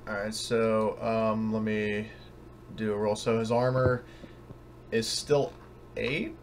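Hesitant low-voiced man running a tabletop game. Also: flat delivery, takes it slowly, a little hiss or hum. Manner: hesitant, unsure, thinking aloud. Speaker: game master. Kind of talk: casual tabletop game talk.

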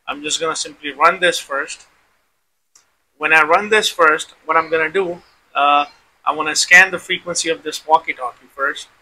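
Man, very bright forceful tone, fairly high pitch, informal tutorial host, step-by-step repetitive explaining. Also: normal speed, a little hiss or hum, low-quality recording. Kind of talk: informal screen-recorded tech demonstration.

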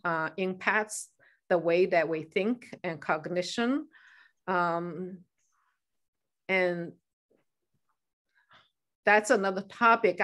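Slow, thoughtful woman from China. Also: on the low side. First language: Chinese. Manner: thoughtful, hesitant, many fillers and restarts. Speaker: guest speaker. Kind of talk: answering questions in an online meeting. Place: home room by a staircase. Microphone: video call.

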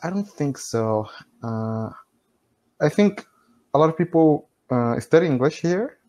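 A man's voice: a little high, expressive, measured pace, dark tone. A man in his thirties from Brazil, speaking English with a Brazilian Portuguese accent as a learner in casual conversation practice over a video call, laughing often and leaning on "you know". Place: small room at home, indoors.

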